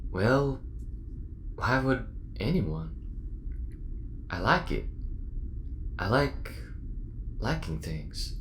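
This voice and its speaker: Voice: mid-range voice, big pitch swings, drawn-out words, long pauses, a bit bright, slight background noise, heavily acted. An actor playing a friendly, earnest, helpful android in a sci-fi audio roleplay, with a folksy, polite manner.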